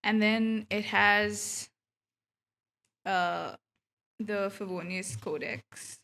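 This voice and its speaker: A woman's voice: medium pitch, very slow, creaky, sharp tone. A woman from India chatting casually on a game livestream in a scattered, giggly way. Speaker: streamer. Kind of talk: casual livestream chat while playing a game.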